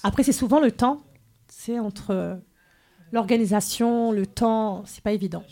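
Female singer guest, high voice, slow unhurried talk, soft-spoken. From Nice, France. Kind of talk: casual interview.

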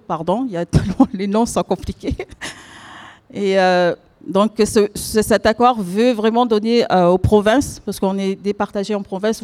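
A woman's voice: medium pitch, dark tone. Hesitant woman speaking at a meeting. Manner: hesitant, searching for words. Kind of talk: public talk at a gathering.

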